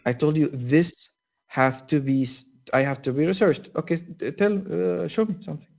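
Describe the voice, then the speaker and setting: A man whose first language is Arabic, calling into a debate and arguing defensively with halting restarts. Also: a little high, vocal fry, darkish tone, highs cut off. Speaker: caller. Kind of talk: heated call-in debate.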